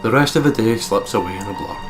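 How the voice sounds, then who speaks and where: A man reading a visual novel aloud in an even tone during a let's play, swearing loudly when startled. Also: on the low side, fast, over music.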